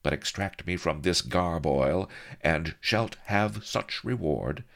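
A man voicing a haughty, flustered young noblewoman in an audiobook, raising his voice high and speaking in mock-archaic phrasing. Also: slow pace, gravelly, fairly bright tone, full character voice. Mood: imperious, flustered. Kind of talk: audiobook character reading.

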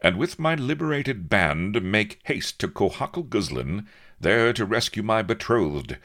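A man's voice: fairly low pitch, very animated, measured pace, fairly bright tone, pitched above the performer's own voice. A man voicing a young, heroic naval captain, pompous and noble, with stern, grandiloquent old-fashioned speech in a comic storybook reading. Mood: resolute. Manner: grandiloquent mock-archaic speech, stern and cool.

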